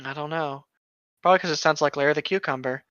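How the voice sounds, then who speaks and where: A man's voice: fairly high pitch, fast, a bit bright, clear, resonant tone, no room sound. A man co-hosting a show, reading a story aloud with casual, playful banter, recorded on a slightly dull home microphone. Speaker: podcast co-host reading and mocking internet horror stories.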